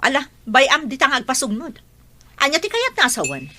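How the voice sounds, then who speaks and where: Stern mother, bright animated delivery, curt, insistent, radio drama. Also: high-pitched, moderate pace, a little hiss or hum, exaggerated voice. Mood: stubborn. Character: strict, stubborn working mother of teenagers.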